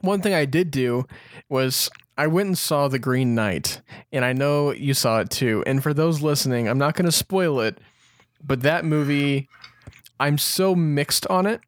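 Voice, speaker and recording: A man's voice: a little high, measured pace, dry recording, studio quality. A man chatting casually and enthusiastically as co-host of a fan podcast.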